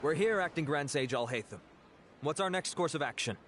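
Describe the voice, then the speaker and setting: A man's voice: fairly high pitch, lively intonation, a little fast, fairly bright tone, long reverb tail, slight background noise. An actor voicing a courteous, dutiful male investigating officer in an anime game, formal and polite. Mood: deferential.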